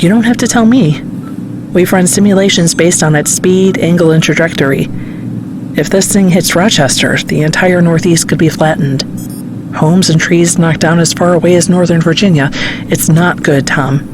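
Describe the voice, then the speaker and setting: A woman playing a grave, weary scientist delivering dire news in an audio drama, somber and deliberate. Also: on the low side, close to an everyday voice. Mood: somber, resigned. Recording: noisy car ambience.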